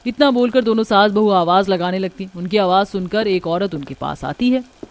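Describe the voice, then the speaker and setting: A woman's voice: mid-range voice, normal speed. A woman giving scripted narration for a Hindi cartoon story.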